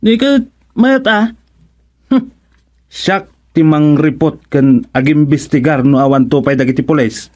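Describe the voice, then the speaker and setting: A man playing an excitable, easily flustered village watchman in an Ilocano radio comedy, blustering with drawn-out, hesitant interjections. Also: on the high side.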